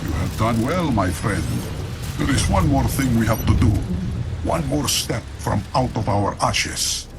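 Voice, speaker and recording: An actor playing a proud, ruthless older dynasty leader in a game cutscene, speaking in a grave, measured, dramatic way. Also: male voice, medium pitch, expressive, very noisy. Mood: grateful. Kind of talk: scripted game cutscene monologue.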